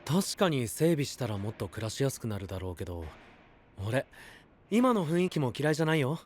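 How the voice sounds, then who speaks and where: A man's voice: mid-range voice, sing-song, brisk, a little hiss or hum, natural voice. A man playing an easygoing, friendly young man with a light, teasing, casual manner in an anime audio drama. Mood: relaxed.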